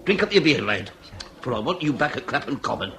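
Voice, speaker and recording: An actor playing a jovial, shrewd period police inspector with a wordy, old-fashioned way of talking in a comic radio drama. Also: male voice, medium pitch, big pitch swings, fairly quick, gravelly, bright, forceful tone, heavily acted. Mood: shrewd, genial. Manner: old-fashioned wordy speech, hesitant asides, chuckling.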